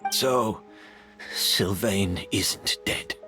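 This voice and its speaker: An actor playing a cold, defiant master perfumer who refuses to repent, speaking slowly and sardonically. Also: male voice, lowish voice, music in the background. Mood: wry. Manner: slow, sardonic, unrepentant.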